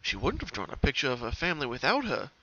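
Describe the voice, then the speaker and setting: A man's voice: mid-range voice, wildly swooping pitch, fast talker, a bit bright, dull audio. A man narrating a story as a dramatic reading. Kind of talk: dramatic reading of fan fiction.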